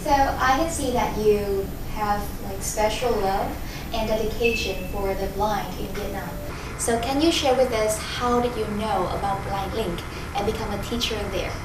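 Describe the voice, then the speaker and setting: A woman's voice: medium pitch, moderate pace. Woman interviewer, distant and echoey. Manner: formal, scripted questions. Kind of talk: prepared interview questions. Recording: echoey noisy room, mic distant.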